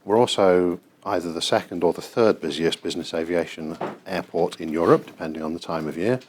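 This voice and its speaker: A middle-aged man with a low voice and a British accent explains things as a tour guide. He speaks in a measured, precise way, with clear room noise behind him in a working indoor space. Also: darkish tone. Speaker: air traffic control manager and tour guide. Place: large airport control tower room, indoors. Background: control room ambience.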